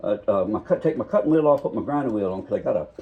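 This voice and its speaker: A man talking quietly and casually to camera while he works on a car, mumbling as he goes. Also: fairly low pitch, animated, racing, phone-quality sound, a little hiss or hum. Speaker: car restoration hobbyist. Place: home garage workshop.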